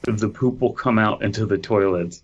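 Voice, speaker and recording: A man's voice: on the low side, moderate pace, dull audio, a little hiss or hum. A man co-hosting a comedy podcast who reads a story aloud and keeps breaking into giggles during casual banter. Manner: giggly, breaks into laughter while reading. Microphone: online call mic.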